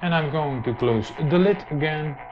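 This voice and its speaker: A man's voice: fairly high pitch, animated. A man gives an unscripted how-to demonstration, hesitating often with many filler sounds. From Netherlands. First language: Dutch. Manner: hesitant, halting delivery with very frequent filler sounds. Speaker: tech tutorial presenter. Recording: dull lo-fi camera audio, clear noise.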